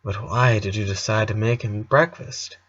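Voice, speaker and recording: A man voicing a gloomy, suspicious little goth girl with a flat, interrogating manner. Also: on the low side, normal speed, slightly rough, lo-fi sound. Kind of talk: storybook character voice.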